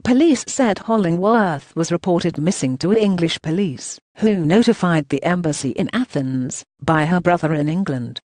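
A text-to-speech man's voice reading news articles in a flat, even way. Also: on the high side, moderate pace, hardly any pauses, dry recording. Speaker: news reader.

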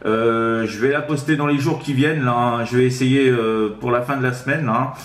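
A man of medium pitch talks casually as a CB radio operator. He repeats himself and tacks small tag words onto his phrases. The room around his voice echoes noticeably. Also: fairly even intonation, moderate pace. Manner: repetitive, with frequent tag words. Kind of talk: casual radio contact chat. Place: indoors at home, sixth-floor flat.

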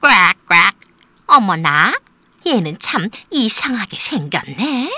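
Woman as a quacking, animated mother duck. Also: on the high side, slow pace, a little hiss or hum, heavily acted. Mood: startled. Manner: quacking, exclamatory. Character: startled, worried mother duck, grown female. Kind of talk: children's storybook character voice.

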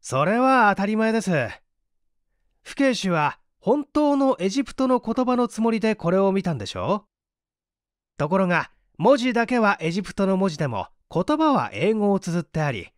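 Man voicing an assured, high-voiced detective scientist. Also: very animated, normal speed, no room sound, studio quality. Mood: assured, explaining. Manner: didactic, articulate. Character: male detective scientist, assured, patiently explaining his deductions. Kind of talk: dramatised audiobook reading.

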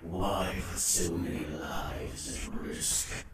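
A man's voice: low voice, steady tone, slow pace, a crowd in the background. A man playing a grave, weary monster scientist in a comic dub, speaking formally and solemnly. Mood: solemn, questioning. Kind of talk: dramatic comic dub acting. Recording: distant, heavily echoing, loud music.

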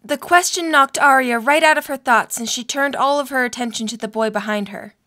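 A woman's voice: high-pitched, a little fast, pushed and bright. A young adult woman reading scripted story narration for an audio drama.

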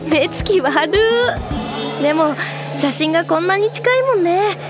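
A woman's voice: very high voice, animated, measured pace, music in the background. A woman playing a perky, teasing, dog-loving schoolgirl in a Japanese anime radio drama, playful and mock-dramatic.

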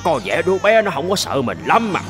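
Blustering cowardly cartoon tiger, wildly animated. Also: male voice, fairly high pitch, a little fast, music in the background. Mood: puzzled, anxious. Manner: theatrical, blustering, easily panicked. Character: boastful but cowardly tiger, king of the jungle. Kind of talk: animated folk-tale voice acting.

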